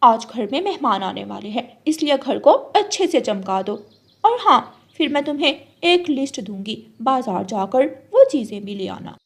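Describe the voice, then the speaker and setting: A woman's voice: a little high, very animated, moderate pace, breathy edge, full character voice. A woman voicing a haughty rich female crow, curt and commanding, in a cartoon tale.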